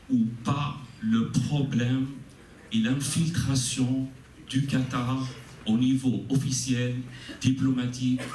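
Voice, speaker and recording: A man with a mid-range voice poses lengthy, courteous questions, pausing often on hesitant fillers. The room is echoey and he sounds far from the mic, with faint noise underneath. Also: medium pitch, a little mellow. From Tunisia. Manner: formal, deferential, long-winded, hesitant fillers. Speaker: audience member asking questions. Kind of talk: formal question from the floor. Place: meeting room with audience.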